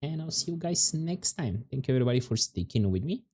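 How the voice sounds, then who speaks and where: A man streaming a game, chatting casually and musing aloud, his voice turning hoarse and scratchy. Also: mid-range voice. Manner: relaxed, musing aloud, drawn-out words. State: voice going hoarse, scratchy throat. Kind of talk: casual livestream chat while gaming.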